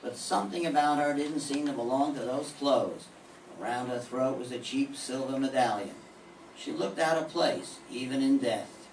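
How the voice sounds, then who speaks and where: A hard-boiled private eye, played by an older man in an old-time radio drama, dry and sardonic. His voice sits mid-pitched and comes from across a reverberant room, with a faint hiss underneath. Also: normal speed, distant mic, close to an everyday voice. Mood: narrating. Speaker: amateur radio play performer. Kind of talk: 1940s radio acting.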